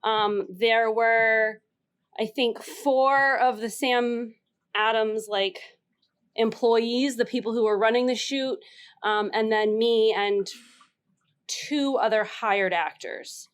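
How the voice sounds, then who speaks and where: A woman telling a casual story as a contestant on a panel game, halting and giggly. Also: fairly high pitch, steady tone, clear, resonant tone.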